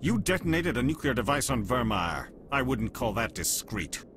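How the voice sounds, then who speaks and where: A man's voice: mid-range voice, very animated, normal speed, fairly bright tone, slight background noise. A man playing a skeptical alien councillor in a video game, formal, dry and disdainful.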